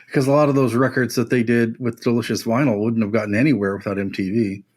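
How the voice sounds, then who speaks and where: A man's voice: medium pitch, fairly even intonation, fast, a little mellow. A man co-hosting a podcast, explaining his views on music in a casual, analytical way. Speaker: podcast co-host.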